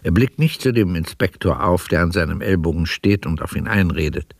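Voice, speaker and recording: A male narrator reads scripted radio drama narration in a measured, literary manner. His voice is fairly low and gravelly, recorded dry and close. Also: no room sound. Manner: literary, descriptive, measured delivery.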